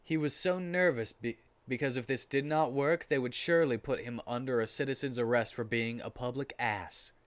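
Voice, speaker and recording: A man streaming a comic dramatic reading with casual commentary, sounding amused and animated. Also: mid-range voice, normal speed, few pauses.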